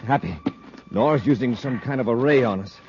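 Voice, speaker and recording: A man acting a steady, commanding space patrol commander in a 1950s radio adventure, with an authoritative manner, on an old recording. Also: medium pitch, fairly quick, dull audio, noisy background, natural voice. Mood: urgent, strained.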